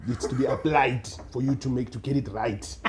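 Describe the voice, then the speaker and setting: A young man with a South African accent, a radio producer chatting casually as a podcast guest, animated and quick to laugh, often restarting his sentences. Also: medium pitch, crowd noise. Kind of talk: casual interview chat.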